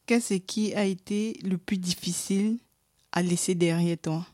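A woman interviewer reading formal, measured interview questions. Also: lowish voice, steady tone, darkish tone, no room sound, studio quality.

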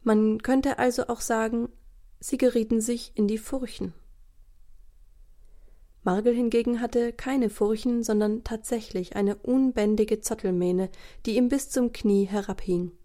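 A woman narrating a children's audio story in a measured way, speaking directly to young listeners. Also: on the high side, steady tone, mellow, lightly acted.

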